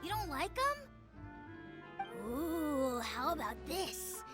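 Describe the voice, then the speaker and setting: A woman's voice: sits high, very animated, very slow, music in the background, exaggerated voice. A woman voicing an eager, naive and mischievous young child in a video game, enthusiastic and exclamatory.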